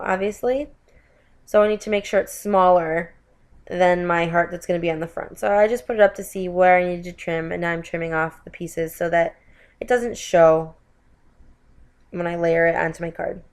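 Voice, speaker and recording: Woman, craft host, voiceover. Stuffy from a cold, friendly running chatter with self-corrections. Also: mid-range voice. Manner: chatty, with frequent self-corrections and "you guys". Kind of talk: voiceover narration of a card-making tutorial.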